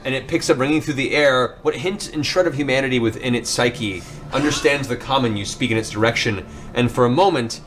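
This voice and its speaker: A man's voice: mid-range voice, brisk, fairly bright tone, slight background noise. A man running a tabletop fantasy game as game master, narrating in a descriptive, dramatic storytelling way. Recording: music under the voice.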